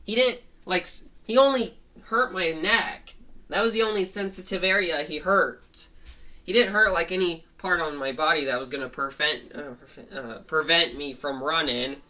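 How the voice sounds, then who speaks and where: A man voicing a hurt, excitable cartoon dog who urgently warns his friends. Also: a little high, moderate pace, pitched above the performer's own voice. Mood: indignant, hurt.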